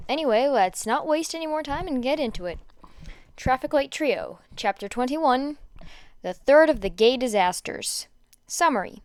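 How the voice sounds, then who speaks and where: A woman reading fan fiction aloud in an informal, expressive way, stumbling over words now and then. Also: sits high, normal speed, clean tone, no room sound. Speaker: podfic reader. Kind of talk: amateur fan fiction reading with chatty asides.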